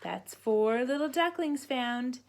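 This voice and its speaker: A woman's voice: sits high, takes it slowly. A woman voicing a patient, playful mother duck in a storybook, calling out in a singsong way. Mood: patient, curious.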